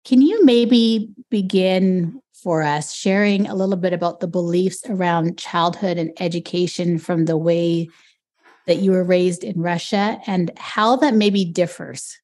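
A woman's voice: lowish voice, a little slow, a little mellow. A woman hosting an interview podcast, introducing and questioning her guest. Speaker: podcast host.

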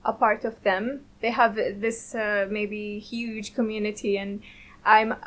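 A young woman from the Middle East, a second-year student, speaking earnestly with halting restarts. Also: medium pitch, level tone, a bit bright, poor audio. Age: young adult. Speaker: student guest. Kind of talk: casual interview answers. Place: radio studio.

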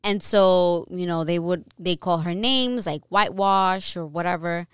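Earnest woman with a smooth, fairly low voice. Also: a little slow, no echo, studio quality. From New York, US. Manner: earnest, opinionated, many fillers and restarts. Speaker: podcast co-host. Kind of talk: casual conversational podcast.

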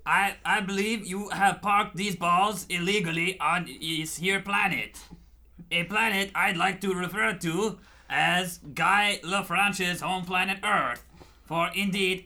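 A man's voice: sits high, creaky, bright, forceful tone, full character voice. A man playing a pompous, vengeful French meter-man villain with theatrical delivery in a comic radio play. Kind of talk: comic parody radio drama.

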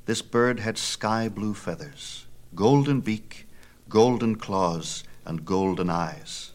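A man reading a scripted mythology story aloud in a dramatic, measured manner. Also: on the low side.

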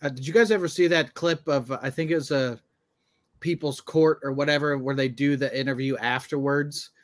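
A man's voice: mid-range voice, fairly even intonation, a little fast. A man co-hosting a casual comedy podcast, telling stories in a hesitant, meandering way. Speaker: podcast co-host.

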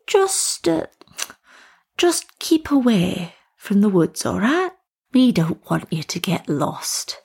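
A woman puts on an eccentric village tea lady for a storybook. She is chirpy, motherly and a little secretive, gently asking questions. The voice is husky with plenty of creak. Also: fairly low pitch, animated, moderate pace, exaggerated voice. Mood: hesitant warning. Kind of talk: acted storybook dialogue.

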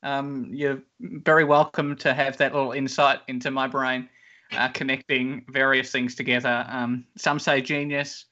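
A man's voice: medium pitch, level tone, unhurried, pushed and bright. A man with a nasal voice hosting a comedy podcast, playfully announcing rounds. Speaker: host. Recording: lo-fi podcast audio.

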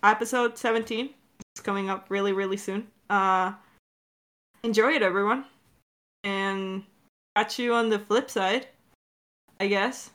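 A woman co-hosting a podcast in a casual Q&A chat, talking in an animated, informal, rambling way. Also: mid-range voice, unhurried, long pauses. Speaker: podcast co-host and audio drama director.

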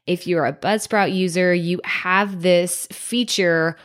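A woman's voice: on the low side, steady tone, slow. A woman hosting her own podcast while congested from a cold, talking in a chatty, nasal, stuffy way. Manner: chatty, conversational, with fillers like "like" and "um". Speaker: podcast host. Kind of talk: solo podcast talk.

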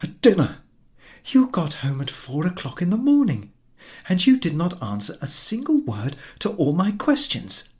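A man's voice: sits high, huge pitch swings, moderate pace, darkish tone, voice pushed up. A man voicing a frantic young wife in a story, urgent, nagging and exclamatory as she rouses her husband. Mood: reproachful.

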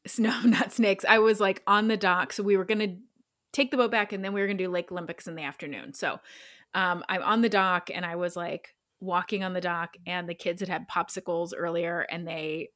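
A woman's voice: fairly low pitch, fairly even intonation, brisk, fairly bright tone, no echo, clean studio sound. A woman in her thirties co-hosting a podcast, telling a rambling story with lots of fillers and self-deprecating laughs. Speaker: podcast co-host. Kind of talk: casual chat.

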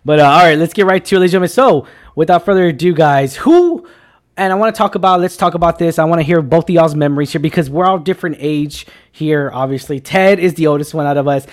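A man in his twenties with a fairly high voice hosts a loose panel chat. He rambles excitably, circles back over the same phrases and leans on buddy slang. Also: fast talker. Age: 20s. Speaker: show host. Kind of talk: casual panel chat on film news.

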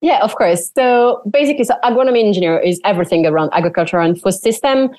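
A woman's voice: medium pitch, fast, dry recording. A young woman from France with a French accent, chatting animatedly as an interview guest and often saying "like". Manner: animated, frequent "like" fillers, laughs often. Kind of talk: casual interview chat.

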